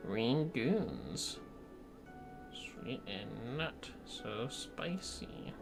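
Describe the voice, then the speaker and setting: A man's voice: mid-range voice, sing-song, very slow, gravelly. A man chatting casually on a gaming livestream, sweary and playfully theatrical, with music behind him. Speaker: livestreaming gamer.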